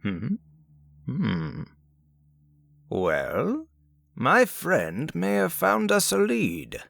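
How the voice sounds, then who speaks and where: A man playing a wise, unhurried old Viking explorer in an audio story, speaking in measured, formal storyteller phrases. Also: a little high, huge pitch swings, gravelly, fairly bright tone, dry recording, heavily acted. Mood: thoughtful. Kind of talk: audio story character acting. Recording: music behind the voice.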